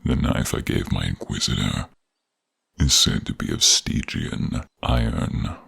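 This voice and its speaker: A man voicing a cold, cruel, imperious king in a fantasy audio role-play, with a deep, breathy, gravelly voice and a commanding, threatening delivery. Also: expressive, a little slow, bright, forceful tone, heavily acted. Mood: commanding threat.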